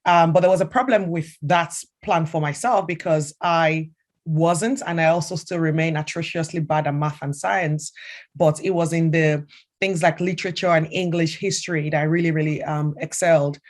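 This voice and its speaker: A woman's voice: sits low, steady tone, normal speed. A middle-aged woman from Nigeria with a Nigerian accent, telling students about her career in a chatty way, with many fillers and restarts. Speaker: senior strategic advisor.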